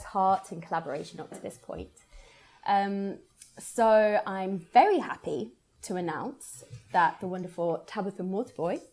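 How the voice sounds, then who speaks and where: A woman's voice: mid-range voice, a little mellow. A woman hosting an informal, upbeat podcast conversation about theatre as its producer. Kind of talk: podcast announcement and interview.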